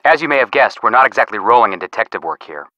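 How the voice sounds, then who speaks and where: A man's voice: lowish voice, lively intonation, fast, sharp tone, no echo, lo-fi sound. A man voicing an easygoing, joking young amateur detective in a video game, with a casual delivery. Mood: laid-back. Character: wisecracking, easygoing teenage brother and amateur detective.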